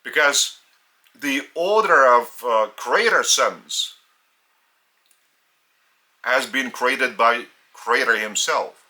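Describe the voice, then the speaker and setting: A man with a Lithuanian accent delivers a solemn spiritual teaching at a very slow pace. His voice is bright and forceful, at a mid pitch. He speaks in measured phrases broken by long pauses.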